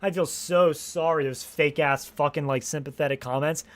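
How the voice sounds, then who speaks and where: A man's voice: fairly high pitch, steady tone, moderate pace. Rambling, swearing young male streamer. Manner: rambling, restarts sentences, swears casually. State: slightly hoarse from long streaming. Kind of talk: casual live stream chat.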